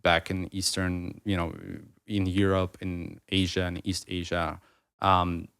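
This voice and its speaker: Low-voiced thirties man, Russian-native, rambling reflective guest speaking English. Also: flat delivery, takes it slowly, fairly bright tone, clean tone. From Ukraine. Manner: rambling, restarts mid-thought, many fillers. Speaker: podcast guest, writer. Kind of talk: reflective conversational interview.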